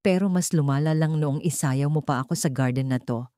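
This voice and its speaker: A woman's voice: low voice, a little fast, warm tone, no echo, clean studio sound, natural voice. A woman voicing an earnest, tender young male suitor pleading his love in a romance audio story.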